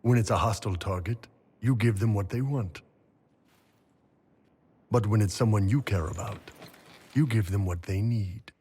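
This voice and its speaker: Husky, low, easygoing big mercenary. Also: male voice, warm tone. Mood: frank, intimate. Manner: blunt, unhurried, casual. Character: big, confident male mercenary warrior, frank and easygoing. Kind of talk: video game dialogue acting.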